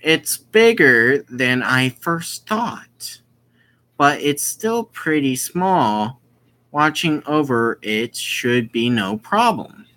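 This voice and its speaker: Halting, very slow-paced gamer reading aloud. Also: medium pitch, sharp tone. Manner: halting, explains who is speaking as they read. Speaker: gaming video host. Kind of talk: reading a visual novel aloud with casual commentary.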